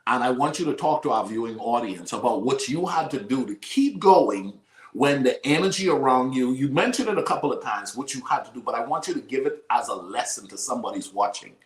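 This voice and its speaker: A man from the Bahamas with a Bahamian accent, hosting a faith-based conversation and telling stories in a lively preacher cadence. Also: medium pitch, fairly quick, echoey room, poor audio. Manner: animated storyteller, preacher cadence. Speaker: host.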